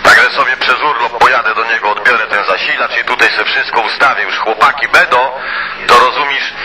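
A man's voice: medium pitch, fairly even intonation, a little fast. A man chatting casually over CB radio in a colloquial, rambling way, with mild swearing and filler phrases. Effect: CB radio transmission. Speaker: CB radio hobbyist. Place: two-way CB radio conversation. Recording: noisy CB radio transmission.

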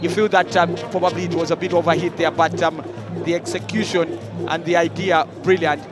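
A man with a Ugandan English accent analysing a football match as co-commentator, talking in a measured way with many fillers. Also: a little high, flat delivery. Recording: broadcast mix with crowd and music.

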